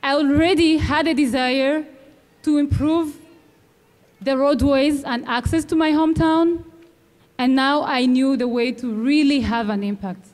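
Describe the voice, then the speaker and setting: A young woman from Rwanda giving a measured, earnest commencement speech at a podium microphone, with emphatic pauses. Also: sits high, a little mellow. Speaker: graduating student speaker. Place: large outdoor ceremony venue. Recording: long reverb from a large venue.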